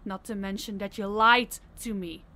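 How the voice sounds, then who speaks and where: A woman's voice: mid-range voice, steady tone, normal speed, pushed and bright, natural voice. A woman playing a stern, fed-up crime boss in an audio roleplay, commanding and blunt. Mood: fed up, accusing. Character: stern, fed-up female crime boss scolding her subordinate.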